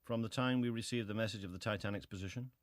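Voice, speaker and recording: Low-voiced, terse sea captain played by a man. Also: quick pace, no room sound, studio quality, lightly acted. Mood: terse, restrained. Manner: clipped, restrained, matter-of-fact. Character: terse, matter-of-fact British sea captain testifying. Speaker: actor reading hearing testimony. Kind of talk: dramatized reading of historical testimony.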